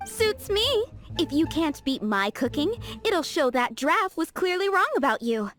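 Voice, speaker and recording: A proud, tradition-bound female chef with a high, expressive voice. She is haughty and sharply critical, with a touch of game music behind. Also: measured pace, heavily acted. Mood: smug. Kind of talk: video game dub acting.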